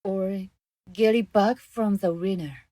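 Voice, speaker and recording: Earnest, slow-spoken young gatekeeper woman, acted. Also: mid-range voice, fairly even intonation, mellow, dry recording, clean studio sound, natural voice. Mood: troubled. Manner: hesitant, earnest. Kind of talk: radio drama acting.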